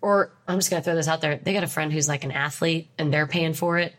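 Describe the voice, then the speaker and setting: A young woman with a stuffed-up nose from a cold, talking bluntly and emphatically. Her voice sits a little low, and she sounds opinionated and sure of herself. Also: steady tone, fast, a bit bright. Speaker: podcast co-host. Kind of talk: casual chat.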